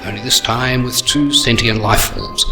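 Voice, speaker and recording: A man's voice: medium pitch, steady tone, moderate pace, bright, forceful tone. A man playing a sardonic, long-winded narrator in a scripted comedy audio drama. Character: sardonic, wordy male narrator who insults the listener. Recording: roomy echo, faint music.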